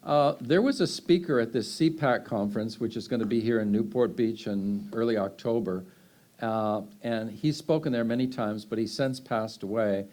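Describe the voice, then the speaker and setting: A man's voice: medium pitch, moderate pace, darkish tone. A man, answering audience questions in a loose, rambling way full of fillers and restarts. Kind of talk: unscripted Q&A answers.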